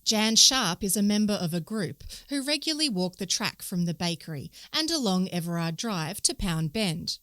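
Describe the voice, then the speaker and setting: An Australian woman from a local newspaper's editorial team, reading a news story aloud in a clear, even way. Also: mid-range voice, moderate pace, hardly any pauses, dry recording, clean studio sound. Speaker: newspaper reader.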